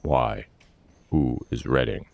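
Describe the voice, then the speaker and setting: A man voicing a big, blunt minotaur warrior who speaks in terse, gruff phrases for a fantasy story. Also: very deep voice, slow pace, darkish tone, no echo, pitched below the performer's own voice, full character voice. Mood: blunt, suspicious.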